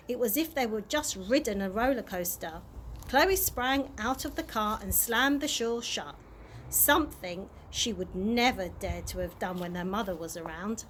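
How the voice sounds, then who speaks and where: A woman narrating a children's chapter book aloud in an expressive storytime style. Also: a little high. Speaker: early years practitioner reading a story. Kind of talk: animated children's audiobook narration. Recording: clear background noise.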